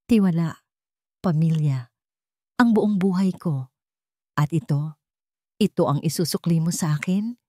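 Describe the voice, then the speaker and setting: A woman acting a betrayed, bitter husband confronting his wife in an accusing, emphatic, halting way in a narrated drama. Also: on the low side, a little mellow, no echo, studio quality, close to an everyday voice. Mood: bitter, hurt.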